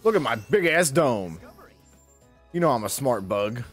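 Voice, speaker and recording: A man's voice: mid-range voice, sing-song, unhurried. A man in his thirties chatting casually through a video game with a co-host, swearing and bantering with mock boasts. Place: indoor streaming setup. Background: game music.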